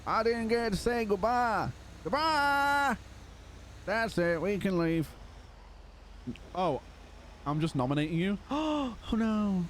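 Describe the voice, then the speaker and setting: This is a young man whose voice is worn hoarse from a long stream. He sits slightly higher in pitch than most men and chats casually, laughing often. A faint hum of noise sits under him. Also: lively intonation, slow, long pauses, fairly bright tone. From Liverpool, England. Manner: chatty, laughs often, calls people brother. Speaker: streamer playing a roleplay game. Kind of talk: casual live-stream chat.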